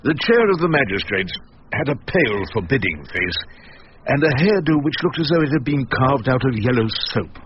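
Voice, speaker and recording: A man's voice: medium pitch, animated, brisk, natural voice. Man playing older English male barrister, ironic, grumbling, theatrical, widely varied intonation, radio drama, muffled sound. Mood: wry narration. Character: wry, grumbling older male barrister narrating his cases. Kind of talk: radio drama narration and dialogue. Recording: dull, faintly noisy radio recording.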